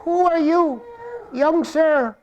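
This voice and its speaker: A man's voice: very high voice, slow, darkish tone, muffled recording, a little hiss or hum, pitched above the performer's own voice. A man putting on a frail ancient old mother. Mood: quavering.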